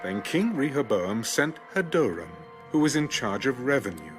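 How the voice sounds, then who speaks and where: A man narrating scripture as a scripted drama, in solemn, measured storytelling. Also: medium pitch, very animated. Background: background music.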